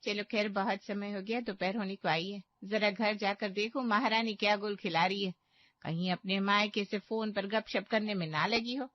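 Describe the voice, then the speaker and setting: A woman voicing a haughty, demanding middle-aged mother-in-law in a cartoon, sharp-tongued, gossipy and sarcastic. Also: mid-range voice, fairly even intonation, moderate pace, no echo, dull audio, lightly acted. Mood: gossipy scorn. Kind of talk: animated moral-story cartoon acting.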